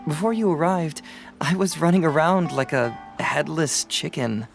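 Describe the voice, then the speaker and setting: Cocky young detective. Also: male voice, a little high, animated, moderate pace, gravelly, faint music behind. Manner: playful, self-assured, laughs lightly. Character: young sharp-witted male detective, teasing. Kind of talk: game voice acting.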